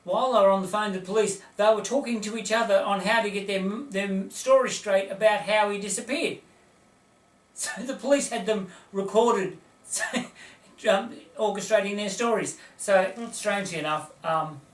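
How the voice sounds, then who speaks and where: High-pitched chuckling male co-host, roomy sound. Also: moderate pace. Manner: chuckling, dry quips. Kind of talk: casual comedic storytelling. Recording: echoey room, heard from a distance.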